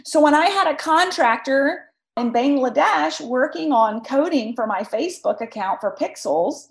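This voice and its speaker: A woman with a high voice, made scratchy by a cold, hosts a solo podcast. She talks emphatically and right at her listeners, and the sound rings in an echoey room. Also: animated, moderate pace, gravelly. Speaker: podcast host. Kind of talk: solo podcast talk, advice.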